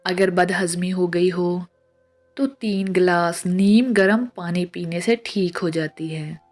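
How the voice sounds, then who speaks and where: A woman reads scripted tips in a measured, instructional way, as a narrator of short pieces of advice. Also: on the low side, fairly even intonation, a little mellow. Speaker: narrator of household and health tips. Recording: voice-over with music behind. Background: music.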